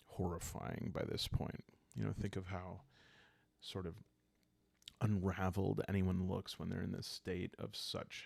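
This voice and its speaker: A man calmly narrating a solo roleplaying game as he plays, improvising the story in an unhurried, hushed way with dramatic pauses. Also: low-pitched, gravelly, dry recording.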